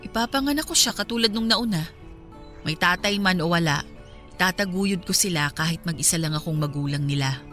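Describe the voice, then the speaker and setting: A hurt but resolute Filipino mother acted by a woman in a radio drama. She speaks firmly and reproachfully in a bright, forceful voice. Faint music plays behind her. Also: on the low side, fairly quick, lightly acted.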